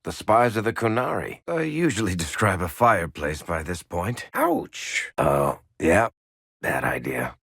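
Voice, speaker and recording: A roguish dwarf from a fantasy video game, speaking low in a close, dry recording. He is sardonic and affable, a storyteller who teases casually, and his pitch moves freely from line to line. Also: big pitch swings, measured pace, creaky, fairly bright tone, breathy edge, no room sound, full character voice. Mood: teasing. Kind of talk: video game character dialogue.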